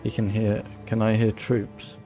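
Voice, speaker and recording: A man's voice: on the low side, completely flat delivery, unhurried, warm tone. A man giving casual, offhand live commentary as he plays a video game, half talking to himself. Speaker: game streamer. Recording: game music behind the voice. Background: game music and horse sounds.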